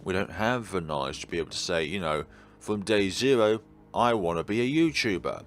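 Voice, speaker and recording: Low-voiced man musing over a game. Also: lively intonation, fairly quick, faint music behind. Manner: rambling, earnest philosophical musing. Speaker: video game let's player. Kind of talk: casual commentary while playing a visual novel.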